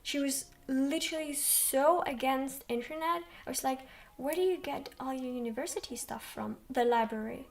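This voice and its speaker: A young woman streamer chatting slowly in a soft, quiet voice, rambling with many ums. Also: sits high. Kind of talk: soft-spoken livestream chat. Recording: distant from mic.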